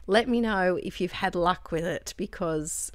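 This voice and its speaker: A woman from Australia chatting casually while making crafts, rambling and correcting herself, her voice a little croaky. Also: fairly low pitch, measured pace, dry recording. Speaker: crafting vlogger.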